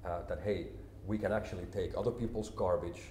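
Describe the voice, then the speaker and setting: A man, a company CEO explaining his work calmly and in a measured way in an interview. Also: low voice, slight background noise. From Finland. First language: Finnish. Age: 40s. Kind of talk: business interview. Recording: roomy echo, faint ambience.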